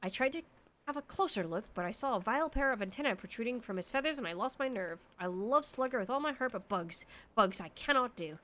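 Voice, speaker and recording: Fussy chocobo owner scared of bugs , a girl reading it out, kinda high voice. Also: expressive, quick pace, a bit bright. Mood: pleading. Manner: reading game dialogue aloud, plainly.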